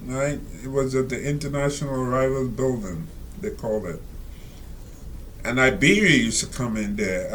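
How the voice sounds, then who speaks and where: A man reading out and commenting on a reader's letter, talking slowly and rambling with many fillers and asides. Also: a little high, a bit bright, a little hiss or hum. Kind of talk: casual spoken commentary.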